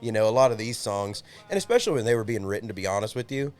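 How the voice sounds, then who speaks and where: Lowish-voiced singer dude guesting on a podcast, laid back, jokey, faint music around. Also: male voice, animated, rapid-fire. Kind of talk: casual chat. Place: home garage studio.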